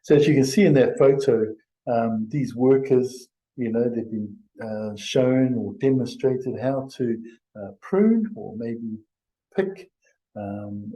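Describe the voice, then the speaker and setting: Mellow, halting New man presenting. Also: mid-range voice, low-quality recording. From Samoa. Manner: halting, pausing, conversational. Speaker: health and safety presenter. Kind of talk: informal webinar presentation.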